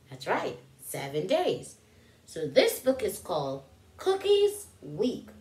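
Slow, animated woman reading to children. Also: lowish voice. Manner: animated, questioning, stretches out key words for young children. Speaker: children's teacher reading a storybook aloud. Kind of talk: children's story time read-aloud. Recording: roomy indoor echo.

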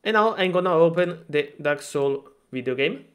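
A man's voice: fairly high pitch, expressive, normal speed, fairly bright tone. A man from Italy speaking English with an Italian accent, talking through a game tutorial in a hesitant, stop-start way with lots of fillers. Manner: hesitant, many fillers and restarts, self-deprecating. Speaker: gaming tutorial maker. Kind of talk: casual screen-recorded tutorial.

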